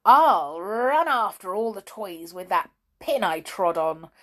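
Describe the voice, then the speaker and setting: A woman voicing a naughty, spiteful female toy doll in a storybook, speaking shrill and cross. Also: animated, slow pace, a bit bright. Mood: gleeful.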